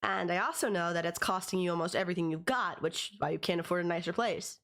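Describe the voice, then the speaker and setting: A woman's voice: lowish voice, fast talker, a bit bright. A woman playing a possessive, teasing young stalker in an audio roleplay, talking straight to the listener in a conversational, condescending yet affectionate way. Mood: smug, teasing.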